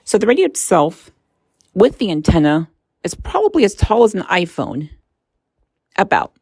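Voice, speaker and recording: A woman's voice: lowish voice, sing-song, moderate pace, gravelly, a little mellow, breathy edge, no room sound, studio quality. A woman presenting a casual hands-on gadget review, informal and a little tentative as she thinks aloud.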